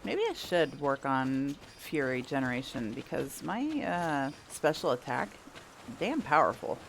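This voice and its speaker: A woman's voice: a bass voice, lively intonation, unhurried, creaky, slight background noise, rough recording. A woman giving casual gameplay commentary, rambling and thinking aloud with the odd mild swear. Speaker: gameplay streamer. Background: video game music and sounds.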